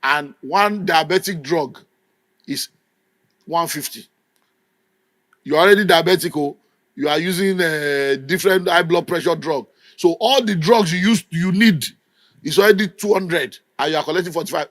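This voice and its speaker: Emphatic Nigerian preacher, high voice. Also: male voice, moderate pace, a bit bright, no room sound. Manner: emphatic, scolding, mimics people in quotes. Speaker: pastor. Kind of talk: sermon.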